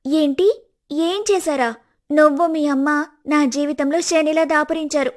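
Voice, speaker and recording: A woman's voice: high-pitched, steady tone, unhurried. A woman voicing a selfish, scheming young wife in a cartoon story, whiny and spiteful.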